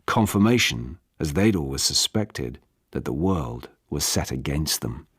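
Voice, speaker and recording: A man's voice: rumbling low, animated, measured pace, husky, no room sound. A British man reading literary fiction as an audiobook narrator in a studio. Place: dry studio.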